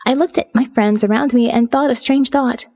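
A woman reading an audio novel as a sardonic, restless young woman diarist, wry and confiding, with dramatic pauses. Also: a little high, steady tone, gravelly, darkish tone. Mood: wry, confessional. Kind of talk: dramatic first-person audio fiction narration.

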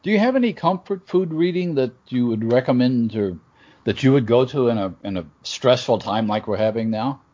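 A man's voice: medium pitch, lively intonation, brisk, warm tone. A man hosting a podcast interview, asking questions and chiming in with short encouragements. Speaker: podcast host. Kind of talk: casual interview. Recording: muffled, husky audio.

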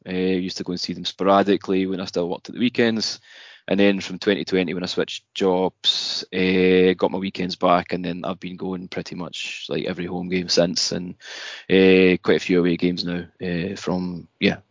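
A young Scottish man talks casually as a podcast guest, his low voice tumbling through fillers and restarts. The sound is dry and a bit dull, with no room around it. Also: dry recording. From Scotland. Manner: chatty, many restarts and fillers.

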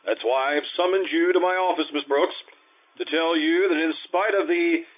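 An actor playing a falsely genial, self-important school principal in an old-time radio comedy. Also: male voice, a little high, moderate pace, close to an everyday voice. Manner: oily, self-important politeness. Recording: tinny, phone-like old radio-style sound.

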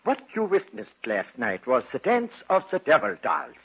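An actor playing a solemn, learned occult researcher who explains things formally and ominously in an old radio drama. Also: male voice, high voice, wildly swooping pitch, moderate pace, creaky, breathy edge, full character voice. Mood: ominous, commanding. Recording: muffled tinny 1940s-50s radio broadcast.

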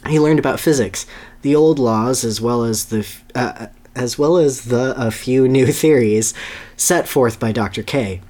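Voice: medium pitch, a little slow, a little mellow. A podcast host gives a dramatic reading of a story, with casual asides and an amused manner. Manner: amused, breaks into laughter while reading.

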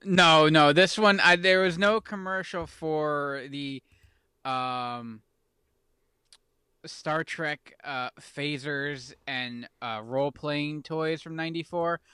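A man's voice: a little high, fairly even intonation, takes it slowly, bright, forceful tone, clean tone, no echo. A man in easygoing casual chat, talking things through with lots of fillers. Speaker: podcast co-host.

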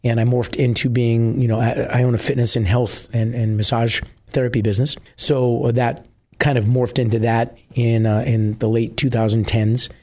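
A middle-aged man from New Jersey, a musician guest with a husky voice, chatting casually and rambling with many fillers. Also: mid-range voice, level tone, moderate pace, darkish tone. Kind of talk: casual interview chat.

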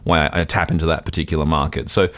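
Male podcast co-host, deep voice, fast and rambling, self-deprecating storyteller. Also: level tone, fairly bright tone, dry recording. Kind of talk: casual comedic podcast chat.

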